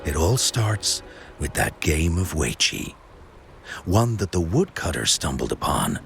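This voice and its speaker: An actor playing a theatrical storyteller in a video game, spinning legends to a crowd with dramatic, drawn-out showmanship. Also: male voice, deep voice, sing-song, gravelly, music in the background, full character voice. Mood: mysterious, proud.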